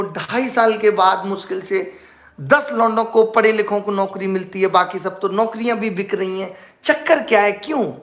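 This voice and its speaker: A man in his thirties, a coaching teacher talking informally to students in a sarcastic, exasperated way, full of rhetorical questions. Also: high voice. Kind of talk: informal talk to students with a satirical mock phone call. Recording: roomy echo with music underneath.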